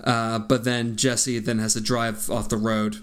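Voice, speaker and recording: A man's voice: mid-range voice, level tone, a little slow, slight background noise. Man in his own voice, loose and profane, narrating plot points for a podcast. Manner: loose, profane, laid-back storytelling. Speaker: podcast co-host. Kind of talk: casual chat recapping a movie.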